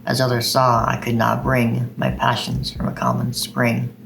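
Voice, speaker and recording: A man's voice: medium pitch, completely flat delivery, measured pace, vocal fry, noisy background. A man hosting a video of poetry readings. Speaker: video host reading poems.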